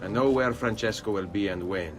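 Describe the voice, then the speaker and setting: A man's voice: on the low side, a little fast, music in the background, lightly acted. A man playing a determined young Italian nobleman in a video game, speaking with an Italian accent. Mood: urgent. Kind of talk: video game cutscene acting.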